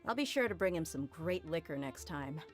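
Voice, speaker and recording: A woman's voice: low voice, expressive, fairly quick, soft background music. A woman voicing a tough, blunt mercenary leader in a game, talking brashly and teasingly. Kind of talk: game character dialogue.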